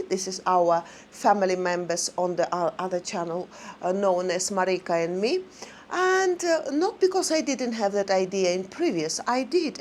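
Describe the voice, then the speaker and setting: A woman speaks slowly and unhurriedly in English that is not her first language. She wanders through her thoughts in a chatty vlog, pausing often to fill the gaps. A faint hiss sits low under her voice. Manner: rambling, halting, with many fillers. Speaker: vlogger. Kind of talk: casual talk to viewers.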